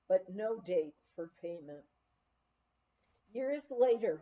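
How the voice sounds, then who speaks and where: A woman's voice: mid-range voice, warm tone, lo-fi sound. A woman reading a memoir aloud as a storyteller, with emphatic phrasing. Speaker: audiobook reader.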